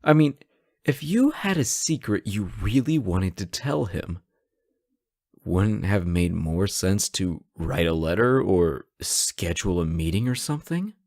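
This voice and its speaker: A man's voice: sits low, expressive, unhurried, darkish tone, dry recording, studio quality. A man acting a sarcastic, teasing hostage who taunts a supervillain in a conversational, theatrical roleplay audio drama. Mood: knowing, probing.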